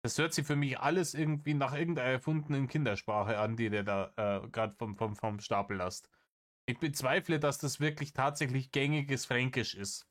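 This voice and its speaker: A man's voice: mid-range voice, level tone, moderate pace. A man giving satirical reaction commentary in an exasperated, sarcastic manner, swearing freely.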